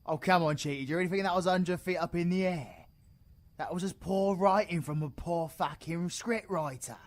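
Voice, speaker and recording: A man's voice: sits high, moderate pace, lightly acted. A British man playing a foul-mouthed, hot-tempered, sarcastic supervillain in a comic audio roleplay, ranting and exasperated. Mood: sarcastic grumbling.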